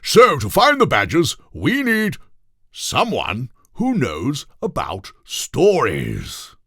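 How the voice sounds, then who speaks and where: A man's voice: medium pitch, wildly swooping pitch, slow pace, bright, forceful tone, husky, dry recording, clean studio sound, voice pushed up, full character voice. A man voicing a bossy, muddle-headed weasel king from a children's storybook with dramatic, imperious declaiming. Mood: grand, commanding.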